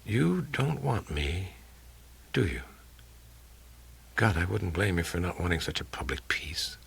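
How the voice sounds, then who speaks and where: Man voicing a husky, sardonic, seductive film actress. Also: deep voice, big pitch swings, a little slow, a bit bright, heavily acted. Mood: pleading. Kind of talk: audiobook character dialogue.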